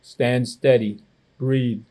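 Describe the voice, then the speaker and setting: A man reading the part of a calm, firm old grandfather who gives measured instructions in a children's storybook. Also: medium pitch, voice pushed down. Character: calm, firm old Chinese grandfather and Tai Chi teacher.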